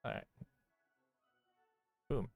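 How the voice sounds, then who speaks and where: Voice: very slow, a little mellow, no room sound, faint music behind. A young adult streamer giving casual, joking and reactive commentary over a video game, recorded on a close mic. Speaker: live streamer.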